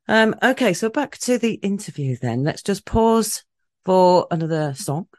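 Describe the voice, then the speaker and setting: A woman's voice: mid-range voice, lively intonation, a little slow, dry recording, studio quality. A woman hosting a radio interview in a casual, chatty way, with many fillers and restarts. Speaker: radio host.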